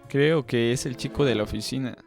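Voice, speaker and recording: A man's voice: mid-range voice, a little fast, a little mellow, faint music behind, close to an everyday voice. A man voicing a glib, charming male reporter with an affectedly composed manner in audiobook dialogue. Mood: evasive.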